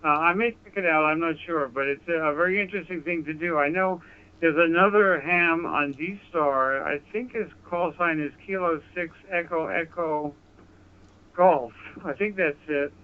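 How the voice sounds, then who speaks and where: A man's voice: on the high side, moderate pace, clear, resonant tone. A man chatting as an amateur radio operator, courteous and complimentary, heard over a digital radio link. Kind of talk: casual ham radio contact. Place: apartment, transmitting over radio. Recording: narrow phone-like digital radio audio.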